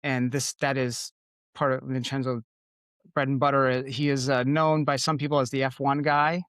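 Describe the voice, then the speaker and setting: A man's voice: mid-range voice, flat delivery, normal speed, fairly bright tone, no echo. A man from Connecticut hosts a podcast in a loose, chatty way, circling back with plenty of "uh" and "like" as he goes. His voice sits in a middle range and sounds close and dry, with no room around it. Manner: rambling, many fillers and restarts. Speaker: podcast host. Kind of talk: casual interview chat.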